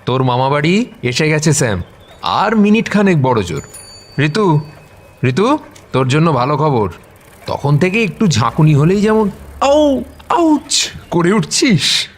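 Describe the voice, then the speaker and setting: A man's voice: on the high side, very animated, measured pace, long pauses, slight background noise. An actor playing an observant, confident young college man in a radio drama, explaining and teasing. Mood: teasing, casual. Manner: explanatory, teasing, mixes in English words.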